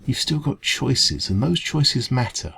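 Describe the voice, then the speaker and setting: Man playing a creaky-voiced, caring English café dragon. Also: medium pitch, very animated, a little slow, full character voice. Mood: earnest, reassuring. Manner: gentle, reassuring, hesitant conversational encouragement. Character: kind, caring male dragon who runs a café. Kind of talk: ASMR roleplay, comforting one-to-one talk.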